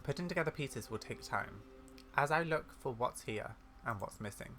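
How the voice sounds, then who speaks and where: Man putting on a bright, bookish old granny. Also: mid-range voice, animated, normal speed, soft background music. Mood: measured, regretful. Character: kindly, bookish old granny scholar in a library.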